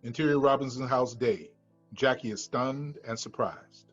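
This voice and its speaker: A man's voice: medium pitch, moderate pace. A man reading screenplay stage directions as scripted narration. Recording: dull lo-fi sound, music behind.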